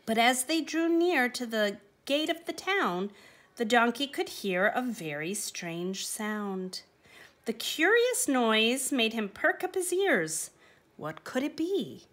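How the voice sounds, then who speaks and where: A woman reading a rhyming children's picture book aloud, with expressive, emphatic phrasing. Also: a little high, takes it slowly. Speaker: storybook reader.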